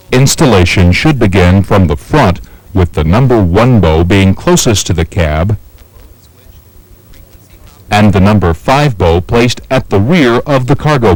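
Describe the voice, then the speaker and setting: A man with a fairly low voice narrates a training film. He gives scripted instructions methodically, one step at a time, with very varied intonation. The sound is dry, with little room around the voice. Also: no room sound, low-quality recording. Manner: measured, methodical, step-by-step delivery.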